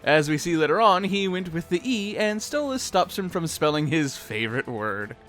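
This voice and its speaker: A man's voice: fairly high pitch, big pitch swings, moderate pace, fairly bright tone, faint music behind. A man co-hosting a fan recap, giving opinionated, casual commentary peppered with swearing. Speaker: co-host of a fan recap show. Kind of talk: animated fan commentary with ranting.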